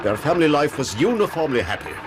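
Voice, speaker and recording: A man's voice: on the high side, sing-song, quick pace, background noise. A man with an English accent playing a formal, guarded army major in a period radio drama, clipped and earnest. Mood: grave.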